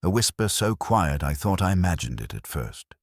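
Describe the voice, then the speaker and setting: A man's voice: low-pitched, big pitch swings, moderate pace, dry recording, studio quality. A man narrating a scripted first-person horror story in a dramatic, suspenseful manner.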